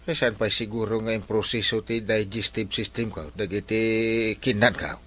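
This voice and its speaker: A man's voice: fairly low pitch, steady tone, moderate pace, fairly bright tone, slight background noise, natural voice. A man playing a lazy village captain in an Ilocano comedy, whining and stammering excuses with an Ilocano accent. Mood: whining, evasive. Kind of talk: broad comedy drama acting.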